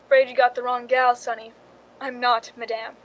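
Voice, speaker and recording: A woman's voice: a little high, level tone, moderate pace, close to an everyday voice, rough recording. An actress playing a wry, knowing film stand-in who confides her story in a radio drama, in an understated way. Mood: patient, matter-of-fact. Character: wry, cool young woman, film stand-in and scheming narrator. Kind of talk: radio drama acting with confessional narration.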